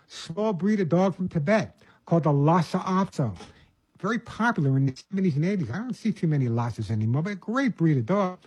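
A man's voice: a little high, darkish tone, slightly rough, dry recording, rough recording. An older man from Long Island, a pet show host and dog trainer, talking casually in a rambling way with restarts and folksy asides. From Long Island, New York. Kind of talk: casual monologue.

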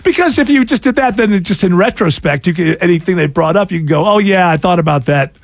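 A man's voice: high-pitched, expressive, fast, gravelly, no echo. A man in his sixties hosting a casual talk show, joking sarcastically and laughing often. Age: 60s. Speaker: radio show host. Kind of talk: casual chat.

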